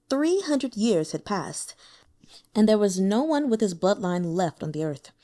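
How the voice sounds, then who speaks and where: A woman reading a translated fantasy novel aloud as an audiobook, with expressive, measured storytelling. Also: mid-range voice. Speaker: audiobook reader.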